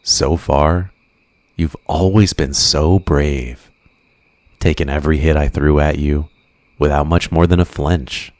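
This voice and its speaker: A man playing an obsessive, flirtatious supervillain in an ASMR roleplay, drawing out teasing, softly menacing endearments in a possessive way. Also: extremely low pitch, fairly even intonation, slow, dry recording. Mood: cold menace.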